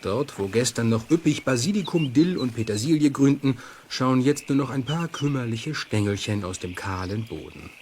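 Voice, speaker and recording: A man narrating a children's radio play in an expressive, suspenseful storytelling manner. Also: mid-range voice, moderate pace, hardly any pauses, slight background noise, lightly acted.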